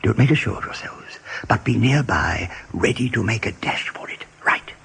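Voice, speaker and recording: Tough rabbit captain: heavily breathy rasp, animated, persuasive urgency, radio drama. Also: male voice, fairly low pitch, moderate pace, full character voice. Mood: urgent, instructing. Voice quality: husky, gravelly, breathy. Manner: intense, persuasive, often hushed. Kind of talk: 1980s radio play acting.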